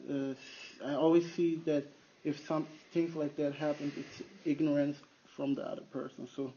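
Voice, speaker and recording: A man who works as a youth pastor, answering at length in a halting way with frequent restarts. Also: a little high, little pitch movement, mellow, slight background noise. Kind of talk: conversational interview answer. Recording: dull lo-fi recording.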